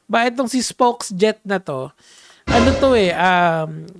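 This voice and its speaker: A man's voice: high voice, lively intonation, a little slow, creaky, over music. A young Filipino man with a Filipino accent giving playful, casual commentary, switching between Tagalog and English with many fillers and restarts. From Philippines. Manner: playful, code-switching, frequent fillers and restarts, shouts "Hey!". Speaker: commentary vlogger.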